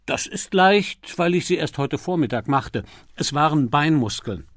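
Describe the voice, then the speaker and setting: A man's voice: fairly high pitch, expressive, measured pace, fairly bright tone, no echo, clean studio sound, heavily acted. A man voicing a young medical student who is unsure, self-deprecating and hesitant, thinking aloud as in an audiobook. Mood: recalling.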